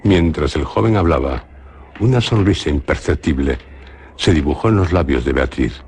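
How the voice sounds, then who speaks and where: A man with a Spanish accent from Spain narrating a radio drama in a measured, solemn way. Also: very deep voice, lively intonation, darkish tone, slightly rough.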